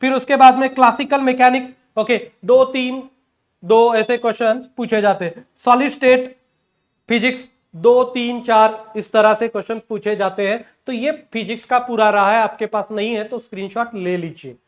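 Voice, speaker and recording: A man's voice: extremely high pitch, steady tone, moderate pace. A man with an Indian accent lecturing as an exam coaching teacher, instructional, mixing in English terms and often checking in with short tag words. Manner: instructional, punctuates points with check words like "okay" and "right"; mixes English terms into speech.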